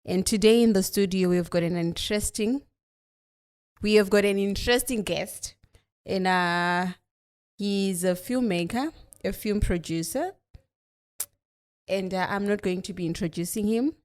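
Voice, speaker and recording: A woman's voice: mid-range voice, measured pace, long pauses, darkish tone. A woman hosting a podcast from a studio, welcoming a guest with upbeat, playful chat. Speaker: podcast host.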